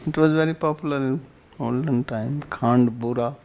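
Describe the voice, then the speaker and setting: A man's voice: medium pitch, fairly even intonation, normal speed, a little mellow, slight background noise. Indian-accented man teaching scripture. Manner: short phrases, recites Sanskrit then explains. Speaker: spiritual teacher giving a scripture class. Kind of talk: scripture class, explaining Sanskrit verses.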